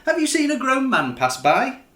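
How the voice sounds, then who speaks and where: A man's voice: very high-pitched, huge pitch swings, moderate pace, bright, forceful tone, echoey room, voice pushed up, heavily acted. A man voicing a friendly, eager young abominable snowman in a children's picture book, hearty and keen to help.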